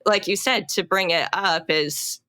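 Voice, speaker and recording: Young woman on a podcast, low voice but sharp bright tone, halting, lots of "like"s. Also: smooth. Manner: halting and reflective, with many "like" fillers and restarts. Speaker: guest. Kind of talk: personal storytelling in a podcast interview.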